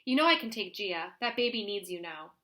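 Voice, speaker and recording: A woman's voice: medium pitch, animated, brisk, sharp tone. A woman voicing a fussy, bossy grandmother from a children's picture book, with an insistent manner. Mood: concerned, insistent. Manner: insistent, fussing.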